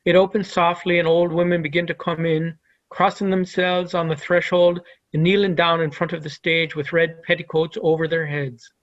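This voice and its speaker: A man's voice: on the high side, flat delivery, moderate pace, lo-fi sound. A man giving scripted narration, reading out the stage directions of a play.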